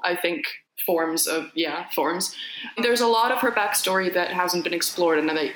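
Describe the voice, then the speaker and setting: Bright-voiced Finnish woman in an echoey room. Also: sits low. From Finland. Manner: rambling, many restarts and fillers. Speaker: actor guest. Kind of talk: casual interview chat.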